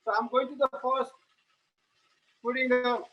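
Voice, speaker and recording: High-voiced Nepali man talking through cooking. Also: flat delivery, dull audio. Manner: halting, plain English, narrating each step as he cooks. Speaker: home cook giving a cooking demo. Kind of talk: live cooking demonstration.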